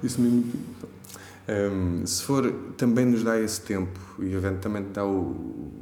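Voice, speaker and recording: A man's voice: lowish voice, a little slow, mellow. A man hosting an interview, asking long winding questions and repeating words as he talks. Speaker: interviewer, host. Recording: echoey room with faint ambient noise.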